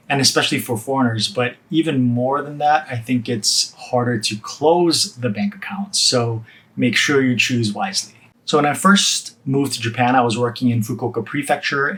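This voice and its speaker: A man's voice: medium pitch, fairly bright tone. A man from Hawaii with an American accent, talking in an informal, conversational way as a vlogger giving practical tips. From Hawaii, USA. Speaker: vlogger sharing personal money tips. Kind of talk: casual explainer to camera.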